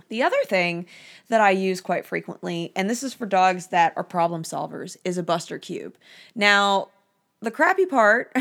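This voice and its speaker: A hoarse woman, a dog trainer, hosting a casual advice podcast and chatting with plenty of ums and uhs. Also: lowish voice, a bit bright.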